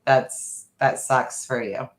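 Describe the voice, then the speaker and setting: A man of middle pitch co-hosting a casual podcast, musing aloud and circling back on his points. His voice rings with a noticeable room echo. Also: steady tone, slow pace, a bit bright. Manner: rambling, reflective, talks through ideas aloud. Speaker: podcast co-host. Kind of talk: casual chat.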